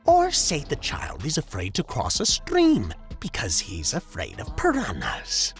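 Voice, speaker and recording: A man's voice: on the high side, wildly swooping pitch, a little slow, faint music behind, heavily acted. A man voicing a weary, menacing shapeshifting clown monster in an audio story, with a theatrical, exasperated way of lecturing. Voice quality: gravelly, swooping voice.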